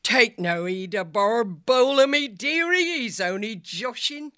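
A man's voice: extremely high pitch, expressive, a little slow, sharp tone, clean tone, dry recording, clean studio sound, voice pushed up, exaggerated voice. A man voicing a big, motherly female otter who speaks in a folksy rustic dialect.

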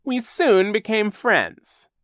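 A man's voice: high-pitched, big pitch swings, takes it slowly, a bit bright, no room sound, clean studio sound, pitched above the performer's own voice, exaggerated voice. A man playing a scheming, greedy villain who gushes with fake cheer in a storybook reading. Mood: gushing. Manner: falsely jolly, gushing, then mock-mournful. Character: scheming greedy male villain in disguise, oily.

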